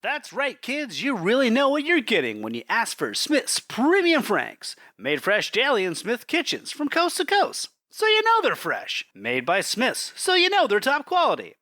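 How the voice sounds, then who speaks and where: A man's voice: very high-pitched, huge pitch swings, moderate pace, hardly any pauses, bright, forceful tone, dry recording, studio quality. A man announcing in the style of a 1940s radio sponsor read, with music behind.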